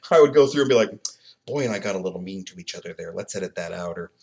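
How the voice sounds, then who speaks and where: A man co-hosting a podcast in casual chat, animated and profane, laughing often. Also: low voice, very fast, gravelly. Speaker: podcast co-host.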